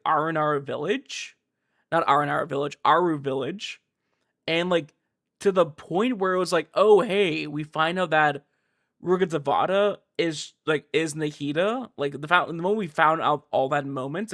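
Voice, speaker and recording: A man's voice: a little high, fairly bright tone. A man chatting casually as a game streamer, informal and rambling as he talks to his viewers. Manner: informal, rambling, filler-heavy. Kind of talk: casual livestream chat.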